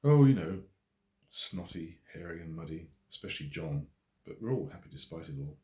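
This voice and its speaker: A man's voice: sits low, sing-song, moderate pace, warm tone, reverberant. A man voicing an earnest, mischievous woman farmer with a haughty, ranting manner in an audiobook story. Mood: wry, grumbling.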